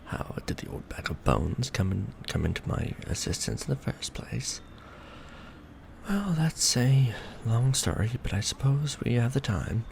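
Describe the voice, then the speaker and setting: A deeply creaky, gravel-edged vampire lord played by a man speaks in formal, archaic phrases with lively rises and falls. He is proud, centuries old and doting, in a romantic ASMR roleplay with faint noise and ambience behind the voice. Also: measured pace. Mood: storytelling, grave.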